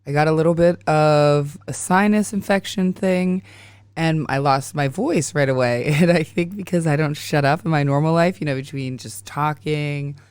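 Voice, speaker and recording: A woman in her thirties hosting a podcast, hoarse and raspy while getting over a sinus infection, chatting casually and laughing often. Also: deep voice, normal speed, darkish tone, no echo. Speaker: podcast host. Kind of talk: casual chat.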